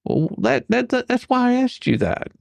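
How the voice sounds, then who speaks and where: A performer playing a bumbling, sarcastic questioning attorney in a comedic deposition reading, halting and theatrical. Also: medium pitch, sing-song, creaky, dry recording. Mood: probing, sarcastic. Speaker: dramatic reader.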